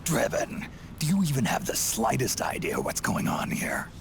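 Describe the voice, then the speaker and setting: A man playing a weary, gruff aging soldier in a video game drama, speaking in a rasping growl. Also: mid-range voice, wildly swooping pitch, moderate pace, in a whisper. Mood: wary, stern.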